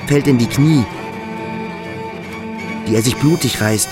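An actor in a German radio drama playing an intense young man who speaks in halting, dramatic fragments. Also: medium pitch, close to an everyday voice. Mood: agitated, breathless narration. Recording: music behind the voice. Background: music with strings.

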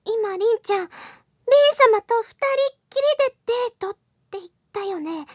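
A woman playing an excitable, lovestruck anime schoolgirl co-hosting a character radio show, theatrical and exclaiming. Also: very high voice, normal speed, no echo, clean studio sound. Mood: flustered, indignant. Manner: exclaiming, theatrical, stammering when flustered. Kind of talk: character radio show, reading listener letters.

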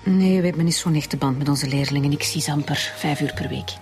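Guarded Flemish schoolteacher, music behind. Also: female voice, low-pitched, fairly quick, a little mellow. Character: guarded, cynical female maths teacher being questioned. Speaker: actor in a radio serial. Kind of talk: naturalistic radio drama acting.

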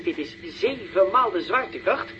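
An actor playing a solemn television reporter in a 1960s radio drama, giving formal, declamatory commentary as if heard through a broadcast set. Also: male voice, high-pitched, expressive, moderate pace, over music. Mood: solemn, urgent. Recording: dull, noisy sound of a TV set.

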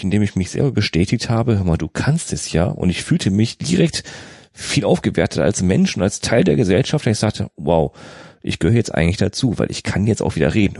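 A man's voice: lowish voice, vocal fry, darkish tone, dry recording, clean studio sound. A man recounting personal experience casually as an interview guest, earnest, repeating phrases for emphasis and checking for agreement. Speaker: former therapy client, interview guest.

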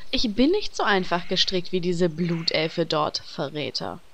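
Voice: medium pitch, lively intonation, unhurried, fairly bright tone. A performer voicing a cool, defiant female night elf captive who answers curtly. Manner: curt, unimpressed replies.

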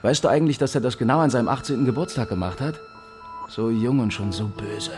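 An actor playing a menacing East German state officer in a radio drama, taunting and sarcastic with drawn-out emphasis. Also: male voice, mid-range voice, a little mellow, close to an everyday voice. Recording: music behind the voice.

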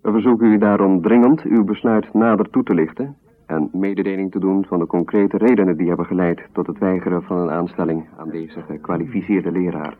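An actor playing an official who reads out a formal letter of rejection in a measured, bureaucratic way in a radio drama. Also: male voice, low-pitched, steady tone, slight background noise, lightly acted. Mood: formal, stern. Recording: muffled narrow-band 1970s radio recording.

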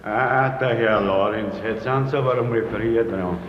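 An actor playing a grumbling, familiar building porter with an Austrian accent in a 1950s radio drama. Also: male voice, on the low side, normal speed, noisy background, natural voice. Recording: echoey, reverberant, muffled old radio recording.

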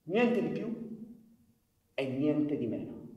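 A man's voice: on the high side, animated, slow, dark tone, muffled recording. A male actor playing a stern, argumentative man in a live stage radio drama, with an emphatic, declamatory delivery. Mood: imperious. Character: cold, domineering male police commander; later indignant anarchist newspaperman. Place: theatre stage, picked up from afar. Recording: distant, echoey hall, long reverb.

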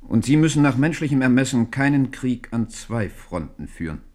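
An actor playing a shrewd grand master advising his king in a German radio play, measured in manner. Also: medium pitch, big pitch swings, darkish tone, natural voice. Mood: urging, reasoned.

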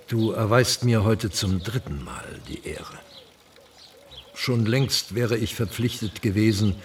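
An actor playing a frail, pious old Turkish gentleman in a radio drama, slow, courtly and philosophical. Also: on the low side, recorded from a distance, slight background noise. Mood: courteous. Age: older.

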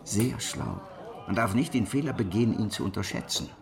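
An actor playing a grave, shrewd rebel preacher of the sixteenth century in a radio drama, speaking in a measured, earnest way. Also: male voice, fairly low pitch, animated, creaky. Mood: grave, resolute. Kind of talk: historical radio drama acting.

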